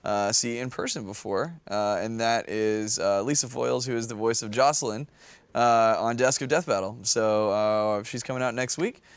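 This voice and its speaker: A man's voice: medium pitch, normal speed, clean tone, no room sound. A man co-hosting a podcast, bantering casually and loosely with the panel. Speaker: podcast co-host.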